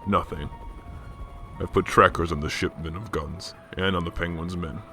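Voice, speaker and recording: A man acts out a calm, brooding crime-fighter in a radio drama. His voice is very low and forceful, delivered deadpan. Faint music plays behind him. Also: normal speed. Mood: calm, resolute. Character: calm, brooding male vigilante hero. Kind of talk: radio drama acting and narration.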